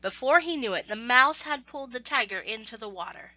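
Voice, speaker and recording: A woman storyteller with a bright, forward voice reads a children's tale expressively and deliberately. The recording is dry and close, though slightly dull in tone. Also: fairly high pitch, lively intonation, no echo. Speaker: storyteller reading aloud. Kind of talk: scripted children's story narration.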